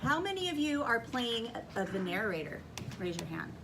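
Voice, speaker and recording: A woman's voice: fairly high pitch, big pitch swings, moderate pace, distant mic. A woman teacher giving classroom instructions and often checking understanding with questions. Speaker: elementary school teacher. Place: indoor school classroom. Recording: noisy classroom with clear background noise.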